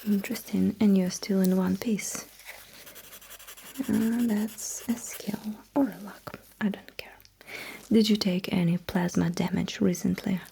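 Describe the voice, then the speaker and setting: A woman performing a sci-fi ASMR roleplay in a breathy whisper, as a courteous, dryly witty alien mecha technician with a measured manner. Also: medium pitch, fairly even intonation, mellow, slight background noise.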